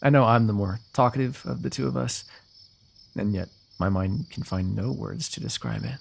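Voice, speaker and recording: A man playing a lovestruck, eloquent fantasy royal in a romantic ASMR roleplay, talking intimately and poetically with gentle teasing. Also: lowish voice, expressive, moderate pace, creaky, slightly rough, exaggerated voice. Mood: breathless, adoring. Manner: intimate, poetic, talkative, softly amused.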